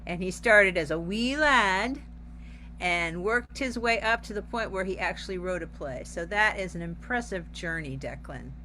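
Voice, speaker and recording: A woman's voice: lowish voice, lively intonation, normal speed, pushed and bright. A woman on a theater program's staff speaking casually, with encouraging emphasis, on a video call. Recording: noticeable hum on a video call.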